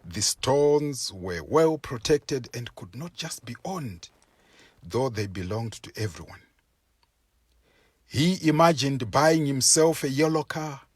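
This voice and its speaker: A male storyteller narrates a folk tale with a slightly rough, husky voice. His pitch rises and falls widely as he goes, and he takes his time over each phrase in a deliberate, measured way. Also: lively intonation, dry recording, clean studio sound. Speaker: storyteller reading a written tale.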